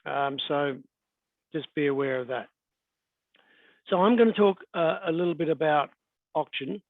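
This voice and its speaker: A middle-aged Australian man, an auctioneer and estate agent, presenting in a relaxed, conversational way, a little croaky from a cold and clearing his throat often. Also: a little high, moderate pace, no echo. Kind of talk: webinar presentation. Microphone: video call. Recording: dry, thin phone-like call audio.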